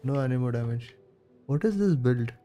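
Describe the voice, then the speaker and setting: A man's voice: mid-range voice, expressive, moderate pace, dark tone, faint music behind. A man livestreaming a video game, giving casual commentary in short, muttered reactions and readouts.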